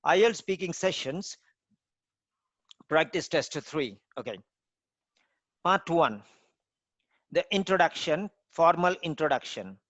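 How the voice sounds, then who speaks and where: A man's voice: on the high side, animated, a bit bright, no echo. Man as formal mock examiner. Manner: formal, measured questioning. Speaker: IELTS trainer playing examiner. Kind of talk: mock exam interview.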